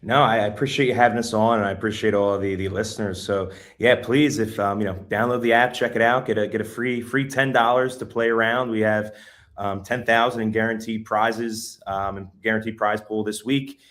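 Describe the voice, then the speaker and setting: A man appearing as a guest, pitching his app with lots of hesitant fillers. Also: steady tone, a little fast, a bit bright. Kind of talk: casual interview promotion.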